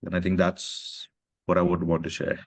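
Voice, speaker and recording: Deep-voiced reflective male author from Pakistan. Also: monotone, moderate pace, creaky, no room sound. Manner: earnest, reflective, frequent "you know" and "right". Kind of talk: conversational literary talk. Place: literary festival stage.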